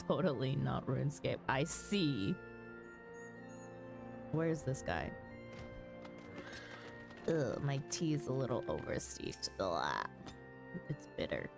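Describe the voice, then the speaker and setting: A woman's voice: very deep voice, big pitch swings, slow, creaky, pauses often. A woman hosting a game livestream, chatting casually with viewers in an easygoing way. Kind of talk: casual chat with stream viewers while gaming. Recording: music behind the voice. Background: music.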